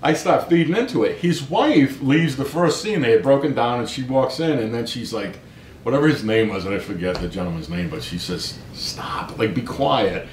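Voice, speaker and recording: A man's voice: lively intonation, normal speed. A middle-aged American man chatting as an interview guest, telling anecdotes in a self-deprecating, conversational way full of check-in tags. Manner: self-deprecating, chatty, peppers talk with 'right?' and 'you know'. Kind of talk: casual anecdotal storytelling in an interview. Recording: roomy echo, low rumbling background noise.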